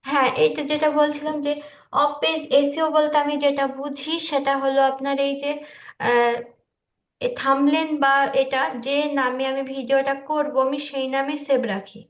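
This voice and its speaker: A woman informally explaining her work in a halting, loose way on an online call. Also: sits high, flat delivery, reverberant, muffled recording. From India. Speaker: video creator showing their work. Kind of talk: informal screen-share walkthrough.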